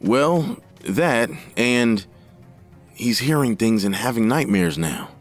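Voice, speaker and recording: An actor playing a worried, troubled middle-aged father in an audio drama, talking gravely and haltingly. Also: mid-range voice, lively intonation, faint music behind. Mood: disturbed.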